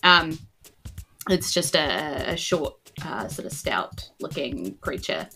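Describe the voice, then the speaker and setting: A woman's voice: sits low, big pitch swings, slow pace, sharp tone, soft background music. A woman improvising narration as game master of a tabletop game, informal and descriptive.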